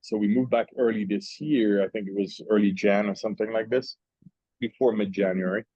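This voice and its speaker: A man from Switzerland talks casually over lunch in a fairly low voice, circling back and restarting as he tells a story. The sound is dull and muffled, with no room echo. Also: steady tone, brisk, mellow. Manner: rambling, with restarts and long asides. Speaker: interview guest, cigar maker.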